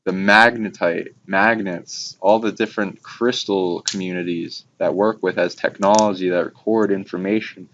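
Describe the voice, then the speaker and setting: A man's voice: sits low, fairly even intonation, moderate pace, hardly any pauses, fairly bright tone. A man talking casually on spiritual matters in long, rambling, run-on sentences. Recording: dull lo-fi recording with background noise.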